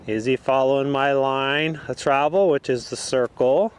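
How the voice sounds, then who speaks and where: A man giving calm, explanatory riding instruction as he rides in an outdoor arena. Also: medium pitch, measured pace, clear, resonant tone. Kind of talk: instructional commentary while riding.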